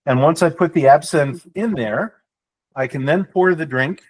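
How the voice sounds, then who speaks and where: A man's voice: on the high side, moderate pace, low-quality recording. Man explaining a cocktail recipe. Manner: descriptive, explanatory, with fillers. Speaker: podcast co-host, drink mixer. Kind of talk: casual podcast chat, explaining a cocktail.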